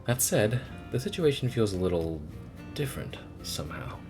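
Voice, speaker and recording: A man voicing a devoted female android head maid in a game, speaking softly and formally. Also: on the low side, very animated, moderate pace, faint music behind. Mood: polite, caring. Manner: formal, deferential, soft.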